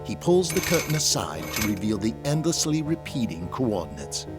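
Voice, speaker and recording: A man's voice: fairly low pitch, very animated, normal speed. A man giving dramatic scripted narration as the narrator of an audio drama. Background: music.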